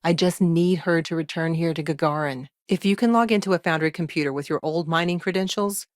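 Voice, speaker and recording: A dry, studio-clean recording of an actress as a principled female lawyer from a sci-fi video game. Her voice sits a little below average for a woman. She talks in an earnest, articulate, conversational manner, pausing thoughtfully as she reasons things through. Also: fairly low pitch, dry recording, lightly acted. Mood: earnest, businesslike. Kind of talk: video game character dialogue.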